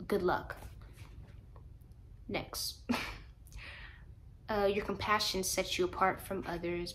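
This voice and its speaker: A woman's voice: fairly low pitch, a bit bright, a little hiss or hum. A woman of twenty chatting casually to camera, rambling and laughing at herself as she reads her birth chart. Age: 20s. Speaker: vlogger.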